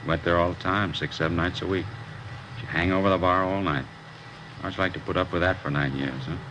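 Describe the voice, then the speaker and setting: An actor playing a fed-up working-class American welder in an old radio crime drama, blunt, slangy and resentful. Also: male voice, sits low, fairly even intonation, fairly quick, close to an everyday voice. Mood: bitter. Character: bitter, fed-up working-class welder estranged from his wife. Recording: noisy old radio recording, machinery hum.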